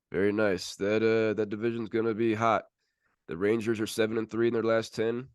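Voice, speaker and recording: A man's voice: on the low side, little pitch movement, normal speed, clean tone, no echo, studio quality. A man hosting a sports podcast, giving casual commentary as he runs through standings.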